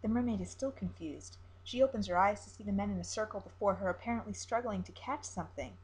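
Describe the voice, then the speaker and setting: A woman reading a story aloud as narrator, in an expressive amateur storytelling style. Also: medium pitch, fast talker, rough recording.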